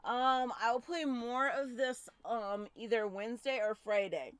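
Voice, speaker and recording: A woman's voice: a little high, measured pace, clear, resonant tone, dry recording. A woman streamer giving casual, chatty commentary while playing a visual novel.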